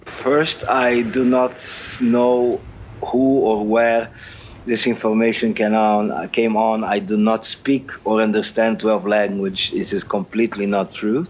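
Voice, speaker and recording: A middle-aged man with a Brazilian Portuguese accent, a journalist answering interview questions in a halting, earnest way, often repeating words as he searches. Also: fairly low pitch, little pitch movement, a little hiss or hum, poor audio. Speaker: journalist guest.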